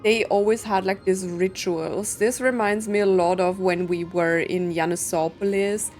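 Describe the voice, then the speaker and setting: A woman's voice: mid-range voice, steady tone, measured pace, darkish tone, soft background music. A woman giving casual game commentary, thinking aloud in a puzzled way with lots of filler words. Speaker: streamer playing a story game.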